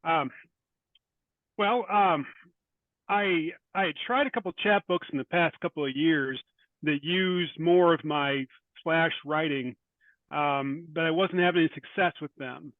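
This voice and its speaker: A man speaking as a writer guest in an interview, thoughtful and halting, often repeating words as he goes. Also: fairly high pitch, dry recording, narrow, tinny audio. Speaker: guest, short fiction writer. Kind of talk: interview conversation.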